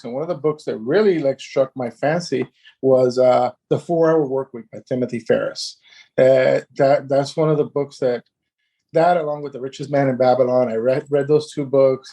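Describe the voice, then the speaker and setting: A man's voice: mid-range voice, dark tone. A man in his thirties, born in Cuba and raised in Miami, telling his story as a podcast guest, chatty with restarts and laughs. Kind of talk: casual podcast interview.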